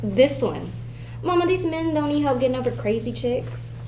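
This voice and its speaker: A woman's voice: high-pitched, expressive, moderate pace, mellow. A woman at a film table read playing a young college student who is guarded and a little teasing. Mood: defensive. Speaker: actor at a script table read. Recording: echoey room, noisy, clicks.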